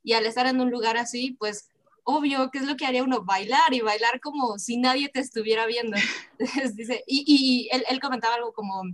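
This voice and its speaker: A woman's voice: a little high, a little fast, a bit bright. A Mexican woman co-hosting a live stream show, chatting casually and reading out fan messages with frequent laughter. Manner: laughing, playful. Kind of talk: casual chat, reading superchats.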